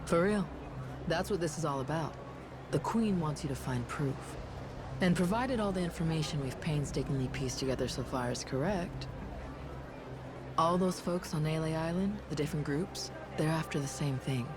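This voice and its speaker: A woman's voice: deep voice, normal speed, background noise, lightly acted. An actress voicing a tough, self-assured young woman bodyguard in a video game cutscene, casual and slangy. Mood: persuasive, sly.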